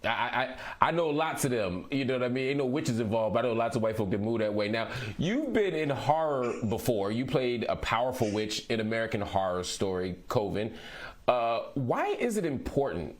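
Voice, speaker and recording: A man's voice: mid-range voice, very animated, moderate pace, a little hiss or hum. A middle-aged man hosting an interview, conversational with playful asides. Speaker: interview host.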